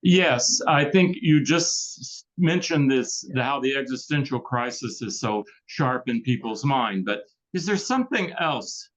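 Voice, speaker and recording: A man's voice: mid-range voice, lively intonation, a little slow, hardly any pauses, rough recording. A man moderating a club talk who relays questions and explains points earnestly.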